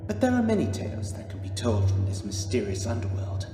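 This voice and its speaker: A solemn, mysterious wanderer of time, acted by a man narrates a sci-fi audio drama. He is portentous, with a mid-pitched voice. It rings with heavy echo and reverb, with soft music beneath. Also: huge pitch swings, brisk. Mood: solemn, ominous. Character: solemn, mysterious ancient male time-travelling storyteller. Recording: echoey, long reverb, music behind.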